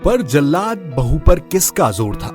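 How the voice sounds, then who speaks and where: A man narrating a scripted moral story in an expressive storytelling style. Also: fairly high pitch, a little slow. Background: background music.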